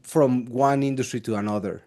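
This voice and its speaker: Immigrant podcast host, quick and stumbling. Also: male voice, mid-range voice, warm tone. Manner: quick, stumbling, non-native phrasing. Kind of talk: casual interview hosting.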